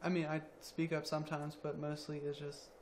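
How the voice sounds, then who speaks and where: A young man around twenty, a college student athlete, answering interview questions quietly with many ums. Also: on the high side, completely flat delivery, brisk, a little hiss or hum. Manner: quiet, soft-spoken, hesitant with ums.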